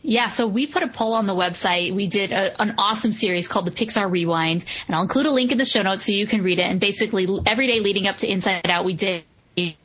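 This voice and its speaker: Woman hosting a casual film podcast, medium pitch. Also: fast talker, a bit bright, lo-fi sound. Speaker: podcast host reviewing films. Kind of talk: casual conversational discussion.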